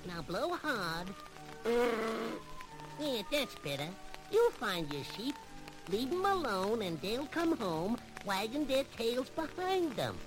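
A cheeky wisecracking cartoon rabbit. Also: female voice, a little high, big pitch swings, slow pace, poor audio. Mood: comforting. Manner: brash, teasing, playful. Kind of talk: children's radio story record. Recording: old record with music behind.

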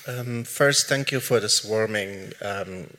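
A low-pitched, bright male voice asks a question from the audience. His first language is Arabic, and he speaks in hesitant English full of fillers. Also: slow pace, big-room sound. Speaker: audience member asking a question.

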